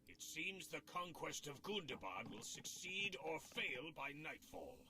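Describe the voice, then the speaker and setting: An actor playing a troubled, solemn dwarf royal in a fantasy game, with a grave, formal manner. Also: male voice, on the high side, sing-song, unhurried, background noise.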